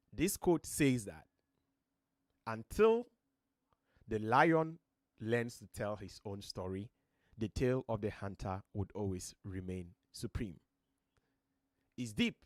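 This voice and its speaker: Earnest Ghanaian man, deep voice. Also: dry recording, clean studio sound. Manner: earnest, motivational, emphatic rises. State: voice slightly strained. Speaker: host. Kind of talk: live-stream talk. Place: home studio.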